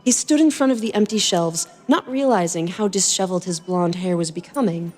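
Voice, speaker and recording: A woman reading fan fiction aloud as a podcast host, in an expressive storytelling style. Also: on the low side, fairly quick, in a large hall.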